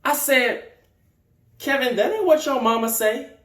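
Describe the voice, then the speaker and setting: A man's voice: extremely high pitch, animated, unhurried, distant mic, exaggerated voice. A man performing a dramatic stage monologue as a wounded, indignant young man, theatrical and emphatic. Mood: bitter recounting. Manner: theatrical, emphatic, swinging from outburst to hushed hurt. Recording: echoey room.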